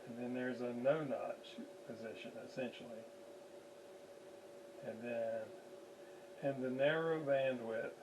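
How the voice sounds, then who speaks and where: A man giving an unscripted hands-on technical demonstration, haltingly thinking aloud as he works at radio repair. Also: medium pitch, vocal fry, background noise.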